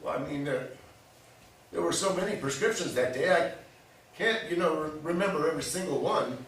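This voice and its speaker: A man reads a proud, forgetful older father in a stage drama. He blusters and stumbles in a high, very animated voice, distant in an echoing hall. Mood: evasive. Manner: blustering, halting. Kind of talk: staged play reading. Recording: echoey hall, distant mic, faint noise.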